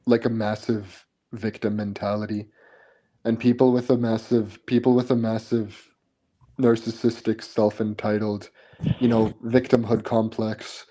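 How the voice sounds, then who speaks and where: A man's voice: fairly low pitch, dark tone. A man chatting casually on a live stream, blunt and opinionated, often restarting his sentences. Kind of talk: casual chat.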